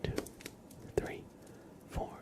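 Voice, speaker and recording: A man doing a soft-spoken ASMR roleplay as a streamer, breathy and often whispering, giving gentle, playfully deadpan instructions. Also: drawn-out words.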